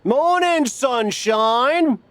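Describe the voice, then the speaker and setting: Lively, straight-talking mentor from the UK. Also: male voice, very high voice, drawn-out words, clean tone, heavily acted. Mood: teasing. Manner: teasing, frank pep talk. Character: loyal, joking, straight-talking male mentor from the UK. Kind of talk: video game cutscene acting.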